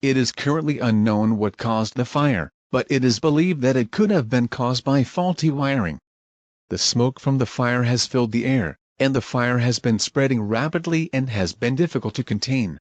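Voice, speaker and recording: A man acts as a grim emergency broadcast announcer in an amateur audio drama. He reads scripted alerts formally and with measured care, in a mid-pitched voice with a very dry, close sound. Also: lively intonation, no echo, natural voice. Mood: grave. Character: grim emergency alert announcer, dutiful, irritable when crossed.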